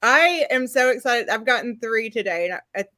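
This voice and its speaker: A woman hosting a live stream recap, excitable, cutting in to steer the talk back on topic. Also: fairly high pitch, normal speed.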